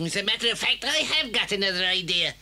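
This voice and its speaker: An actor playing a mean, obstinate farmer villain in an audio story, snappish and menacing. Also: male voice, high voice, lively intonation, fast talker, pushed and bright, slight background noise, exaggerated voice. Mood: scheming, bossy. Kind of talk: 1980s audio story dramatisation.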